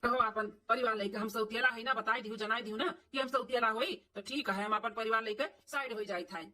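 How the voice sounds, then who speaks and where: A woman's voice: fairly high pitch, steady tone, fairly quick, pushed and bright, distant mic. A woman voicing an aggrieved, protective village son and husband in a cartoon family drama, speaking emphatically with repeated phrases in an Awadhi accent. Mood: hurt, resolute. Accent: Awadhi, eastern Uttar Pradesh. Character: aggrieved village son, adult man, protective of his wife.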